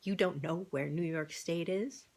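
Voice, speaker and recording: A woman's voice: lowish voice, unhurried, gravelly, darkish tone. A woman voicing a brash, plain-spoken male farm cat in a children's storybook, blunt and challenging. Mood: incredulous. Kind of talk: children's storybook read-aloud.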